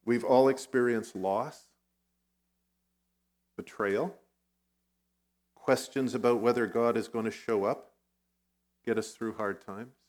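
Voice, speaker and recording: A middle-aged man who grew up in Vietnam, a guest pastor giving a conversational sermon with personal stories, now and then clearing his throat. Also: mid-range voice, fairly even intonation, takes it slowly, long pauses, a little mellow. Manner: conversational, self-deprecating storytelling.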